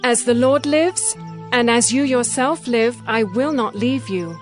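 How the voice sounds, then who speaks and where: A woman's voice: a little high, a little slow, soft background music, lightly acted. A woman playing a determined, grieving mother in a dramatized audio Bible, solemn and insistent. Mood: resolute.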